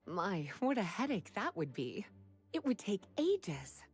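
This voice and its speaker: A woman's voice: medium pitch, big pitch swings, measured pace, husky, faint music behind. A woman playing a sophisticated, teasing young librarian in a video game, with coy and elegant phrasing. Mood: poised, playful.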